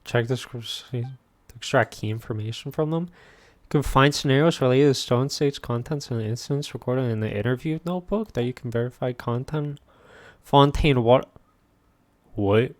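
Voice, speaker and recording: A man's voice: mid-range voice, moderate pace. A young man casually commentating while streaming a game, laid-back and thinking aloud. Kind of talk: casual let's-play commentary.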